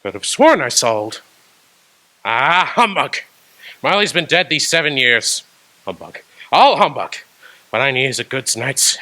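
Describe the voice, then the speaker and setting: A man's voice: mid-range voice, big pitch swings, unhurried, pauses often, sharp tone. An actor playing a stingy, cold old male miser in a radio drama, curt and scornful, barking orders. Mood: shaken. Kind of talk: stage radio drama acting.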